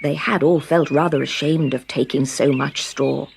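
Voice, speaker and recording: A woman reading a scripted children's story in an expressive, deliberate storytelling manner. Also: low-pitched, creaky, a little hiss or hum. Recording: background music under the reading.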